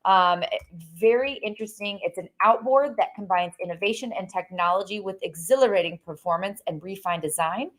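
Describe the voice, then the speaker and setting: A woman's voice: medium pitch, fairly quick, hardly any pauses. A woman co-hosting a casual boating broadcast, bubbly and chatty, with lots of "like.". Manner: bubbly, chatty, uses "like" and "y'all". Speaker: co-host. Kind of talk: casual promotional chat.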